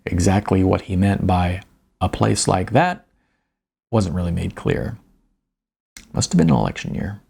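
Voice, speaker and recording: A man's voice: sits low, expressive, unhurried, vocal fry, long pauses, mellow, husky. A man narrating his own novella as an audiobook in the first person, with a wry, understated delivery and chatty asides.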